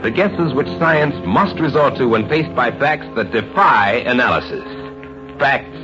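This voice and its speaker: A man's voice: mid-range voice, lively intonation. An American man giving suspenseful scripted narration in the style of a 1950s radio drama. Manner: suspenseful, dramatic, measured storytelling. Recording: dull vintage radio, faint music behind.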